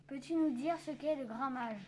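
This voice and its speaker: A woman reading out prepared questions to a cook, soft and careful. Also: sits high, normal speed, rough recording. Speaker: interviewer.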